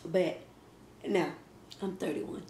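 A woman's voice: on the low side, slow, mellow. Chatty woman eating in an echoey kitchen. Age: 30s. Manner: chatty, laughs often, talks while eating. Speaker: mukbang vlogger. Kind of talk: casual Q and A chat while eating. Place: home kitchen, indoors. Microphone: fixed camera across a table. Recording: echoey kitchen with faint ambient noise.

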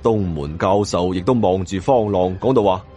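A man's voice: low voice, normal speed, dark tone, faint music behind. A man with a Cantonese accent narrating a scripted first-person story in a dramatic style. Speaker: audiobook narrator.

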